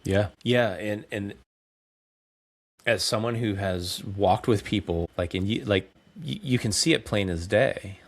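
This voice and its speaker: Deep-voiced man in his forties. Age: 40s. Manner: candid, measured storytelling. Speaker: guest, coach and speaker. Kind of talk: reflective podcast interview.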